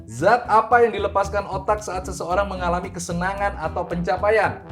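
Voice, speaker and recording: A man's voice: sits high, fairly even intonation, normal speed. A man hosting a quiz show, reading out clues and scores with emphatic, stretched key words. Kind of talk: lively game show presenting. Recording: music playing behind the voice.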